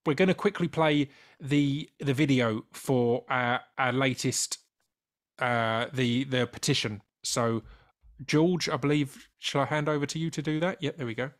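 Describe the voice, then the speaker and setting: A man's voice: mid-range voice, fairly even intonation, a little slow. Casual British man hosting, light stammer. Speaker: host. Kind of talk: hosting a panel discussion.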